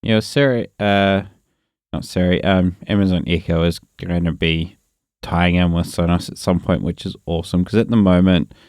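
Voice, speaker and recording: A man's voice: low voice, steady tone, moderate pace, a little mellow, clear, resonant tone, dry recording, clean studio sound. A man with a New Zealand accent, co-hosting a podcast in a wry, chatty way. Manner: wry, chatty, laughs often. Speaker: podcast co-host. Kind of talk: casual tech chat.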